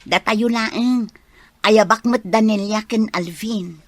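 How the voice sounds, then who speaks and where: A woman's voice: medium pitch, slow, no room sound. An actress playing a lovestruck young woman in an Ilocano radio drama, speaking with an Ilocano accent. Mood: wistful, friendly. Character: lovestruck young woman hosting her birthday drinking party.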